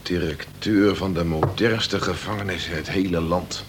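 A man playing a deputy prison governor, polite, breezy and gently teasing, in a Dutch radio play. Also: sits low, normal speed, natural voice. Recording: faintly noisy old radio recording.

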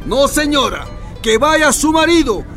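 A man's voice: very high voice, sing-song, unhurried, fairly bright tone, faint music behind, heavily acted. A man playing a brusque checkpoint officer giving curt, dismissive orders in a radio drama.

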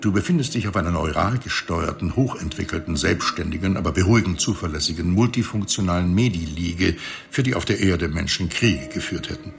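An actor playing a calm, condescending space station reanimator in a radio play, measured and wryly superior. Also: male voice, deep voice, vocal fry. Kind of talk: science fiction radio play dialogue.

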